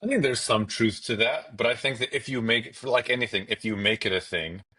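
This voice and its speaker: A man's voice: mid-range voice, fairly quick, pushed and bright, slightly rough. A man giving opinionated commentary in a casual, conversational sports discussion.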